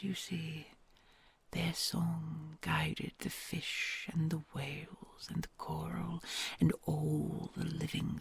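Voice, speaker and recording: A woman plays a huge ancient dragon queen, kind and doting, who speaks in a hushed, very breathy murmur full of gravelly fry. She draws out her words slowly and teases her human gently. The sound is dry and close, with no room around it. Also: deep voice, no room sound, heavily acted. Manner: soft hushed storytelling, affectionate and gently teasing. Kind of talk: ASMR audio roleplay.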